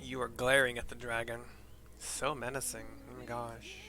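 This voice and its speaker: A man's voice: mid-range voice, flat delivery, moderate pace. A man narrating a video game playthrough in a casual, offhand way, describing scenes with muttered asides.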